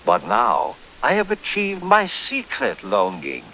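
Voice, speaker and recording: A man voicing a suave, scheming gentleman barrister in a horror radio play, eloquent, formal and theatrical. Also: medium pitch, huge pitch swings, takes it slowly, a little hiss or hum, heavily acted, poor audio. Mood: smug, gloating. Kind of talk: old-time horror radio play acting.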